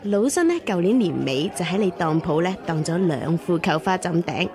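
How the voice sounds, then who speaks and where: A woman's voice: on the low side, lively intonation, moderate pace, music in the background, lightly acted. A woman acting in a radio drama as a wily, money-minded old matchmaker, chatty and wheedling.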